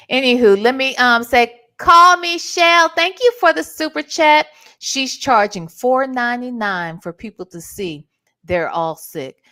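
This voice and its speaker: A woman's voice: fairly high pitch, bright, forceful tone. A woman hosting a livestream, giving casual, slangy, blunt commentary and reading out chat messages. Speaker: livestream host.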